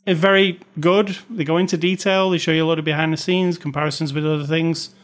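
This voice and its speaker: A man with a slightly high voice talks films in a loose, chatty way. He is opinionated and often restarts his sentences as he thinks aloud. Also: level tone, fast. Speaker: podcast co-host. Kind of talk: casual film review chat.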